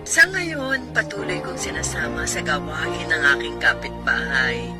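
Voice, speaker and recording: A woman's voice: low-pitched, huge pitch swings, measured pace, heavily acted. An actress playing a devout, caring neighbour woman, earnest and reassuring, in a radio drama. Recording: produced radio drama with music.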